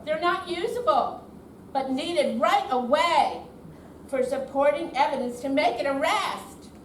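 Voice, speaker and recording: A woman's voice: sits high, measured pace. A woman playing a glib, reassuring con artist posing as a bank caller in an amateur stage reading. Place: indoor senior center performance room. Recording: distant echoey hall, faint noise.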